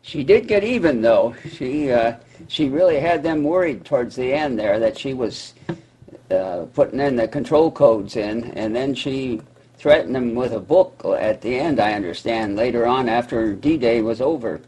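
A man with a husky, rough-edged voice at a middle pitch. He chats informally as a book club member, offering comments in short conversational turns. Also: moderate pace, darkish tone, poor audio. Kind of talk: casual group book discussion.

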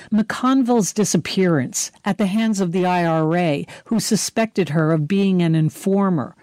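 A woman narrates a scripted review as a book critic. Her voice sits fairly low, and she delivers long sentences in a measured, articulate way. The recording is dry and close. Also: darkish tone, no echo.

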